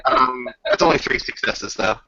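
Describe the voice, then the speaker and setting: Guy game mastering, really fry-heavy voice, halting delivery, dull lo-fi sound. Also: mid-range voice, expressive, bright, forceful tone, no room sound. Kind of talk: tabletop roleplay narration and casual rules talk.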